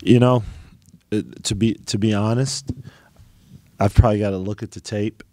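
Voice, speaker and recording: Middle-aged coach at a postgame presser, low dark monotone, dry close sound, lots of ums. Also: male voice, dry recording. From United States. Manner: halting, many ums and restarts. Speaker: head basketball coach. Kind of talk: post-game press conference answers.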